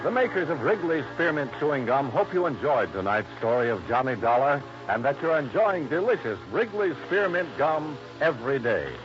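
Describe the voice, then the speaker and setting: A man's voice: a little high, expressive, moderate pace, hardly any pauses, music in the background. An American man working as a 1950s radio announcer, reading sponsor copy and credits in a polished, emphatic sales delivery. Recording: noisy, muffled old radio broadcast.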